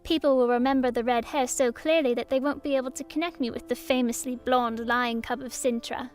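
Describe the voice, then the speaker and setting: A woman's voice: sits high, steady tone, brisk, darkish tone, soft background music, close to an everyday voice. A woman voicing a young orphaned princess in an audio drama, earnest and childlike, hurt yet resolute. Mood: eager, curious.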